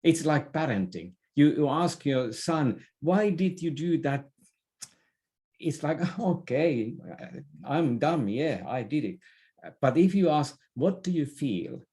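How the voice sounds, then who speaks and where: A man's voice: on the high side, animated, slow pace, dark tone. An older man giving thoughtful interview answers, often repeating a word as he searches for phrasing. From Finland. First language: Finnish. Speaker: interview guest, business leader and former theatre director.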